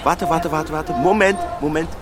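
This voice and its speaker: A man's voice: a little high, normal speed, natural voice. An actor playing a jovial father fussing over his daughters in a radio drama. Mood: playful, proud.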